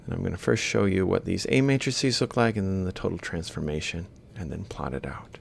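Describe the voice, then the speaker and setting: A man lecturing in a deep voice heavy with vocal fry. He explains things methodically, one step at a time, as if thinking aloud while he writes. There is some rumbly noise behind him. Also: brisk. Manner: explanatory, step by step, reciting values piecemeal. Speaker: university lecturer. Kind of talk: instructional screen-capture lecture, thinking aloud while writing.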